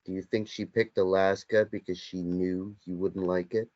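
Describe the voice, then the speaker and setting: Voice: low voice, level tone, moderate pace, darkish tone, close to an everyday voice. A reader playing a teasing ex-boyfriend who won't leave in a table read of a stage play. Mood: needling. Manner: needling, persistent. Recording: dull lo-fi recording.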